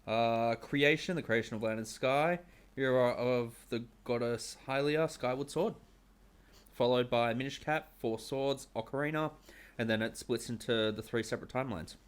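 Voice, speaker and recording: A man's voice: medium pitch, normal speed, clear, resonant tone. A man hosting a casual interview, asking questions in a dry, teasing way. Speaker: interviewer, host. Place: small indoor streaming room. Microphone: close studio microphone.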